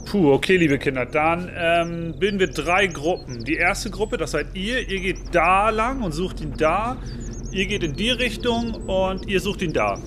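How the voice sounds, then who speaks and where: A man playing a friendly, organised schoolteacher in an amateur radio play, instructing a group with hesitant pauses. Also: high voice, expressive, background noise. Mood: concerned, organising. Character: friendly, organised male schoolteacher addressing his class.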